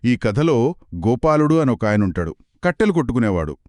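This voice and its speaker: A man's voice: medium pitch, big pitch swings, a little fast, a little mellow, dry recording, clean studio sound. Curious man co-narrating a folktale. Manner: curious, prompting questions. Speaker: co-storyteller. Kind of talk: scripted two-voice folktale narration.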